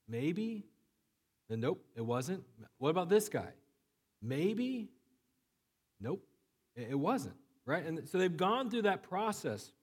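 A man preaching a sermon while he has a cold. His voice is low and flat, and he talks conversationally with many fillers and restarts. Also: big-room sound. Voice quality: low, monotone voice. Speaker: pastor.

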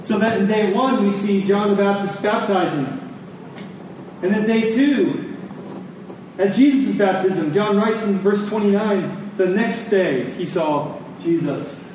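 A man preaching a sermon in a conversational, deliberate way, telling stories and explaining scripture, pausing and repeating phrases for emphasis. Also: high voice, darkish tone. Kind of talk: sermon with storytelling and scripture exposition. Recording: echoey room, distant mic, faint noise.

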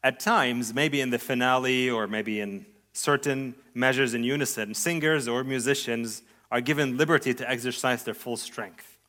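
An adult man delivering a sermon at a mid-range pitch. He speaks earnestly and deliberately, lifting his voice on key phrases and letting sentences fall away softly at the end. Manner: earnest, measured preaching with sweeping rises and soft trailing endings. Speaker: pastor.

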